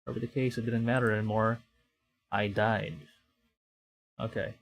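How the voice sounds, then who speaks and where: A man livestreaming a visual novel, reading it aloud with excitable, dramatic delivery and chatty casual asides. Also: fairly low pitch, moderate pace. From Philippines. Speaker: streamer. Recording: music behind the voice. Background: game music.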